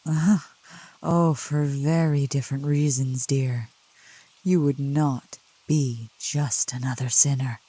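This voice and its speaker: A woman voicing a gentle, teasing, possessive female demon of the woods in a soft, low ASMR roleplay, with tender, formal wording. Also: fairly even intonation, slow pace, husky, dry recording, exaggerated voice. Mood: soothing, possessive. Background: rain.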